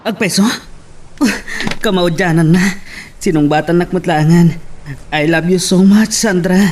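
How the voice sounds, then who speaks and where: A man's voice: high-pitched, steady tone, a little slow, darkish tone. A lovestruck, anxious suitor, acted by a man in a romantic audio drama. He sounds set back from the microphone, with faint noise in the recording. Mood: eager, smitten. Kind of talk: Ilocano radio-style love drama acting. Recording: distant mic, faint noise.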